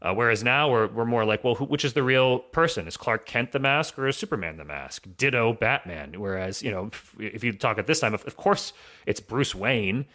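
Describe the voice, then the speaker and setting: A man's voice: mid-range voice, lively intonation, normal speed, pushed and bright. A man speaking as a podcast guest, giving conversational analysis in an explanatory, self-rephrasing way. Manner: explanatory, often rephrasing with "you know".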